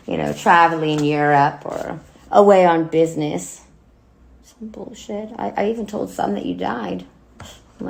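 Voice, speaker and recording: A woman acting a wounded, bitter grown daughter in a dramatic monologue, speaking haltingly and emotionally with a gravelly voice. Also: lowish voice, a little mellow, rough recording. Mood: bitter, hurt. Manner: halting, emotional, with wry laughs and swearing. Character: bitter, wounded grown daughter addressing her dead mother. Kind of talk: dramatic monologue cold read.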